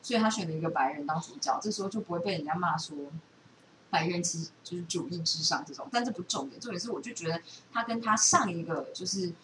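A woman with a Taiwanese Mandarin accent chatting casually as a podcast co-host, giving animated, opinionated, rambling explanations. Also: lowish voice, fast talker. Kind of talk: casual chat about films and series. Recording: roomy, distant mic with steady noise.

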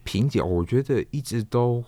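A man with a Taiwanese accent answering interview questions thoughtfully and haltingly, repeating himself as he searches for words. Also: mid-range voice, lively intonation, warm tone, dry recording. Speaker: guest, theatre performer, puppeteer and director. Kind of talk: reflective interview conversation.

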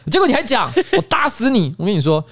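A man's voice: high-pitched, wildly swooping pitch, quick pace, a loud crowd. A man with a Taiwanese Mandarin accent hosting a casual comedic podcast, teasing and mock-scolding as he chats. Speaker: podcast host.

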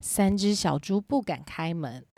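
A woman's voice: fairly low pitch, expressive, measured pace, darkish tone, dry recording, clean studio sound. A woman narrating a scripted children's story.

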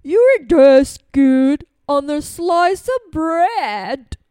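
A huge, lumbering storybook monster, put on by a woman reading aloud. The words come out heavy, loud and drawn out, menacing yet easily fooled. The sound is close and dry. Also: high voice, expressive, no room sound, exaggerated voice. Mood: hungry menace. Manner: slow, heavy, loud delivery. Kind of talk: storybook character voice.

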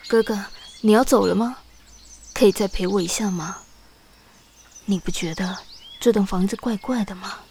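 A woman's voice: medium pitch, normal speed, long pauses, darkish tone, a little hiss or hum, close to an everyday voice. A woman playing an anxious, melancholy young nineteenth-century wife in a Mandarin radio drama, confiding her unease. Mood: pleading, uneasy.

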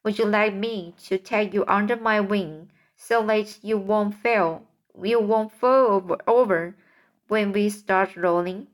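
A woman's voice: mid-range voice, steady tone, measured pace, lightly acted. A woman reading as a kindly, motherly ladybug. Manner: reassuring, hesitant with fillers.

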